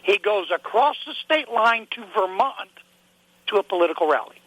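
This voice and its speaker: A man's voice: sits high, lively intonation, moderate pace, fairly bright tone, breathy edge, no room sound, rough recording. A man calling in to a talk show, indignant and rambling, with a stuffy nose and a cough. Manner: indignant, rambling, repeats himself. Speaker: caller. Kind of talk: call-in chat.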